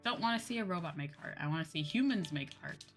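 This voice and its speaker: A woman's voice: low-pitched, animated, quick pace, soft background music. A woman livestreamer chatting casually while gaming, blunt and swearing freely, repeating her points for emphasis. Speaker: livestream host, VTuber.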